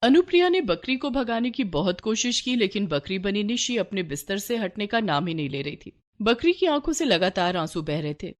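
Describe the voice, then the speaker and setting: A woman's voice: medium pitch, big pitch swings, moderate pace, hardly any pauses. A woman reading a scripted moral story for children as its narrator.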